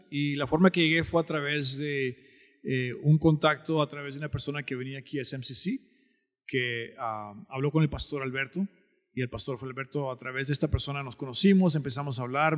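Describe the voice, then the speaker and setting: A man's voice: mid-range voice, fairly bright tone. A man from the Texas border with a Mexican-American Spanish accent, explaining conversationally as an interview guest and band director. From Texas-Mexico border, United States. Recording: long hall-like reverb.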